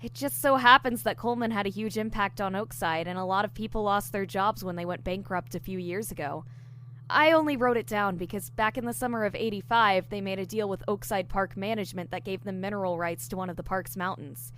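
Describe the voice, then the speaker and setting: A woman's voice: mid-range voice, brisk, a bit bright, a little hiss or hum, close to an everyday voice. A woman playing an eager, diligent newly hired investigator in an audio drama, explaining things earnestly. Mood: assured, explanatory. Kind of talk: audio drama dialogue, explaining case theories.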